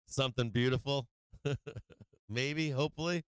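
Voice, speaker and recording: A man hosts a live trading stream with a loose, profane, filler-heavy manner. His voice is strikingly clear and smooth, though it has been wearing out this week. The sound is dry and close, with no room around it. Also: mid-range voice, no echo. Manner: loose and profane, many fillers, laughs often. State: losing his voice. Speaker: stock market livestream host. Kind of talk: casual trading commentary.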